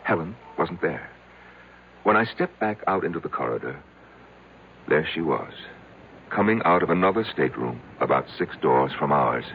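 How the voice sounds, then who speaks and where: An actor playing a broken, insecure middle-aged husband in a 1970s radio drama, halting and anguished, repeating his words. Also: extremely low pitch, animated, gravelly, full character voice. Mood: brooding. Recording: muffled old radio broadcast with music.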